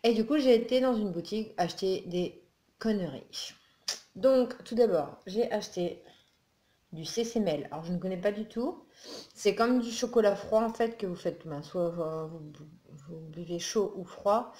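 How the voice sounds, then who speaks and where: A woman's voice: medium pitch, normal speed, dark tone. Chatty just-woken woman in echoey room. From northern France. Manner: chatty, rambling, with many fillers and restarts. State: just woken up, a little groggy. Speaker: beauty and haul vlogger. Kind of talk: casual haul video chat. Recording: echoey room with faint noise.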